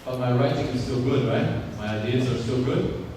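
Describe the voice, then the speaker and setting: Male guest lecturer, non-native English, deliberate and repetitive; roomy far-off hall recording, audible noise. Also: medium pitch. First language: not English. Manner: lively, repeats points, checks in with "okay?". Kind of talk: lecture to students.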